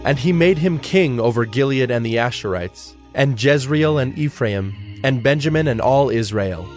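A man narrating a dramatized audio Bible in a measured, solemn storytelling style. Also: medium pitch, animated. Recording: faint music behind narration.

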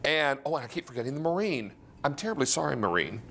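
A man's voice: mid-range voice, sing-song, quick pace, gravelly, a bit bright. Effusive American shopping channel host, a man. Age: middle-aged. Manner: effusive, folksy. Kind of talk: live home-shopping sales pitch. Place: TV studio kitchen.

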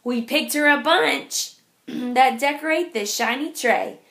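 A woman reading a rhyming picture book aloud to young children in a deliberate, sing-song way, like a teacher. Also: sits high, a bit bright.

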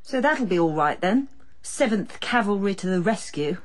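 An actress playing a supportive, exasperated, wry adult daughter in a radio drama. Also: female voice, mid-range voice, animated, normal speed, natural voice.

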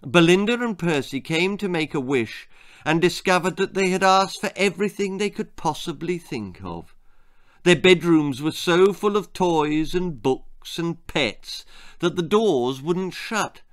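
Animated older Englishman telling stories. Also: fairly high pitch. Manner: expressive, deliberate, theatrical. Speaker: author reading his own stories. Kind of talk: dramatic children's storytelling, scripted narration. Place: small book-lined room indoors.

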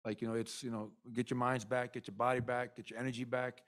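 Middle-aged male coach, postgame presser, candid and rambling, mid-pitched voice, desk mic in an arena press room. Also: level tone, fast talker. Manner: candid, rambling with many fillers. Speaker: basketball head coach. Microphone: desk mic in front.

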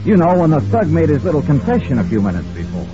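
Hard-boiled American investigator, muffled old radio. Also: male voice, on the high side, wildly swooping pitch, fast talker, over loud music. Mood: pondering, resolute. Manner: hard-boiled, brusque, insistent. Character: tough, impatient male American insurance investigator. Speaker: lead actor and narrator. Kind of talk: old-time radio detective drama acting.

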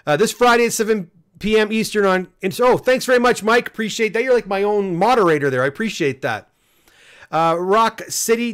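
A man's voice: high-pitched, a bit bright. A man in his fifties hosting a casual livestream from a home room, reading viewer comments, laughing often and coaxing viewers to hit like. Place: home studio room, indoors.

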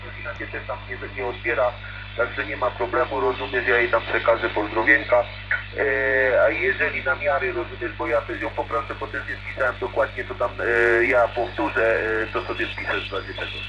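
A man's voice: medium pitch, fairly even intonation, a little fast. A man chatting informally and a little hesitantly as an operator over a two-way radio. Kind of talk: casual radio contact chat. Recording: noisy, muffled CB radio transmission.

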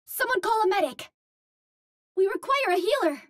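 A woman's voice: extremely high pitch, expressive, normal speed, fairly bright tone, no room sound, studio quality. An actress voicing a cute, earnest young Martian space heroine in a video game, with a light girlish voice and precise, formal wording. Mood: urgent, determined. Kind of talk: video game hero voice lines.

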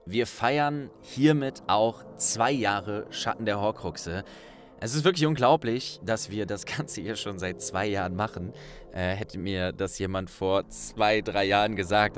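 A man's voice: on the low side, slight background noise. A man hosting an audiobook project, speaking casually and directly to his listeners. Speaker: audiobook narrator and host. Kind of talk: casual thank-you address to listeners.

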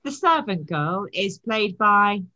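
Woman, middle-aged, bright clear-cut tone, medium pitch, formal church introduction, home webcam. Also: very animated, takes it slowly, muffled recording. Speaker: church minister introducing a cast. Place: home study.

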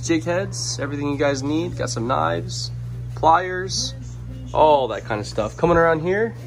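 A man's voice: a little high, fairly bright tone. Upbeat young man vlogging outdoors. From Louisiana. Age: young adult. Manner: upbeat, addresses viewers directly. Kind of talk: casual walkthrough vlog. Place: outdoors by a waterside dock, then inside a small shop. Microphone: handheld camera held close.

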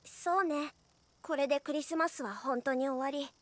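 Woman as a wistful high-voiced young girl. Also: normal speed, very noisy, natural voice. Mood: sad, resigned. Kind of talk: dubbed children's cartoon acting.

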